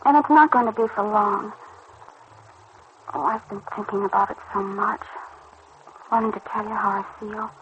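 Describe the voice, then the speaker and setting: Vintage radio soap, muffled sound: actress as earnest young sweetheart, tender, worried, halting. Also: female voice, mid-range voice, steady tone, natural voice. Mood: anxious, tender. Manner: soft-hearted, hesitant, earnest. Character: tender, anxious teenage girl in love. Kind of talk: mid-century radio soap acting. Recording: muffled, noisy old radio recording.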